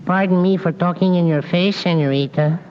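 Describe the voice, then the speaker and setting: A man's voice: high-pitched, brisk, close to an everyday voice. An actor playing a naive, cheerful Mexican handyman in old-time radio comedy, with a stage Mexican accent and broken English. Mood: cheerful patter. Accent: Mexican Spanish, stage version. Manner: broken English, joke-telling patter. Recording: muffled old radio, hall echo, faint noise.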